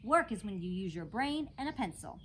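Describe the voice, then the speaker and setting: A woman reads a children's story aloud with very lively, varied intonation. Her voice has a medium pitch, and there is some noise in the recording. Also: brisk. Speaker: storytime reader. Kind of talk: animated children's book read-aloud.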